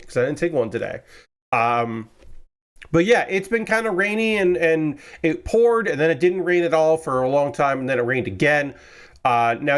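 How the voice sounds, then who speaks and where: A young man casually chatting with his stream viewers while building model kits, rambling and correcting himself, a little congested after a cold. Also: a little high. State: slightly congested, recovering from a cold. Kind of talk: casual livestream chat. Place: his room with window open.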